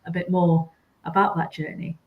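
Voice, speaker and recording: A woman's voice: on the low side, little pitch movement, normal speed, gravelly, narrow, tinny audio. A woman hosting a live interview, introducing her guest with enthusiastic praise. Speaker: host.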